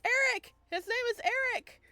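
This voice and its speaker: A woman hosting a let's play, reading aloud and chatting casually with playful, excitable reactions. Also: extremely high pitch, normal speed, dry recording, faint music behind. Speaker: gaming channel host.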